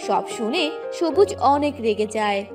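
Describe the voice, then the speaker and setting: A high-voiced woman narrates a cartoon tale at an unhurried pace. Her scripted storytelling swings widely in pitch, with music underneath. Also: animated, darkish tone, far from the mic.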